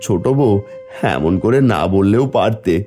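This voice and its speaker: A man's voice: on the low side, lively intonation, moderate pace, mellow, faint music behind, close to an everyday voice. A man voicing a poor, humble village father in a cartoon, earnest and plaintive. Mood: hurt. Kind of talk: Bengali cartoon folk-tale acting.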